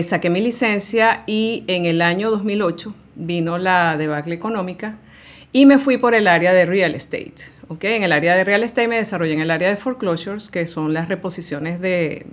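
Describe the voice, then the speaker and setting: Woman podcast co-host, Venezuelan accent, fairly low voice, relaxed with fillers. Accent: Venezuelan Spanish. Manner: relaxed, many fillers and pauses. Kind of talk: casual podcast conversation.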